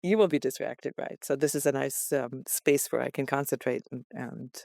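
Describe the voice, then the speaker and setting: A woman's voice: very deep voice, normal speed, creaky, darkish tone, dry recording, clean studio sound. An American woman in her sixties from Los Angeles, a chatty guest who laughs often, talking over a remote call from a farmhouse attic. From West Los Angeles, USA. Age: 60s. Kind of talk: conversational interview.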